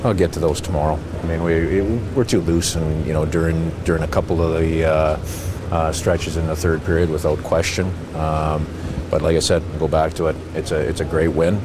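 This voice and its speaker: A middle-aged man, a hockey head coach, answering reporters at a postgame press conference in a halting way, with many fillers and restarts. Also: extremely low pitch, flat delivery, background noise. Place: arena press backdrop, indoors. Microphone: handheld reporter mics held close.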